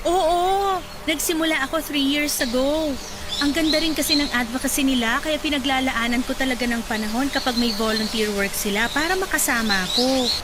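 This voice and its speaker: A woman's voice: sits high, a little fast, heavy background noise, lightly acted. An actress playing a chatty, encouraging young woman volunteer who teases lightly and laughs often. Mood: friendly. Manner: lively, teasing, laughs often. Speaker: volunteer at a charity event. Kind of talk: radio drama acting. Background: loud background music.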